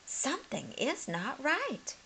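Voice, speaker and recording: A woman voicing a brave, level-headed little schoolgirl in a storybook, matter-of-fact, in a much higher voice. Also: huge pitch swings, slow, bright, forceful tone, slight background noise, exaggerated voice, rough recording. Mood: bold.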